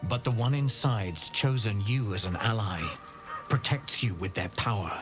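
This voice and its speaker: An actor playing a solemn alien warrior knight turned secret rebel in a fantasy game, speaking in a measured, formal way. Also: male voice, on the low side, expressive, music in the background, close to an everyday voice. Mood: grave, resolute. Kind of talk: cinematic fantasy game acting.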